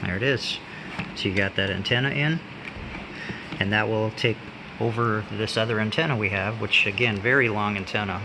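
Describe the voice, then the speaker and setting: Gravelly low-voiced man, casual gadget demo. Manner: unscripted, halting, pausing while handling items. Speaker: product reviewer. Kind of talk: casual hands-on gadget demonstration. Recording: steady background hum and noise.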